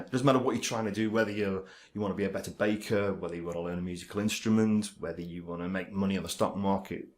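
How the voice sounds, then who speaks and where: Guy w a managed stammer, kinda low voice, lots of ums n restarts, sounding. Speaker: vlogger talking about his stammer. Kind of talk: casual direct-to-camera talk.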